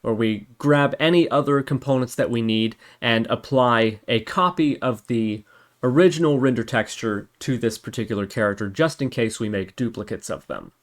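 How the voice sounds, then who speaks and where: A man's voice: medium pitch, moderate pace. A man hosting a programming tutorial, explaining each step methodically and thinking aloud as he works. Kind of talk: screen-recorded coding tutorial, explaining step by step.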